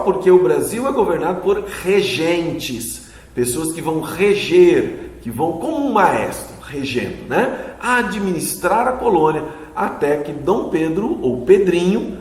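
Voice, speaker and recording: A man with a Brazilian Portuguese accent gives a relaxed lesson, tossing questions and little tags back at his students. His voice sits a touch high and swings widely in pitch. The sound is roomy, with a long reverb trailing after his words. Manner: chatty, asks questions back, frequent "né?" tags. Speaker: history teacher. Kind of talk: casual video lesson. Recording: echoey room with long reverb.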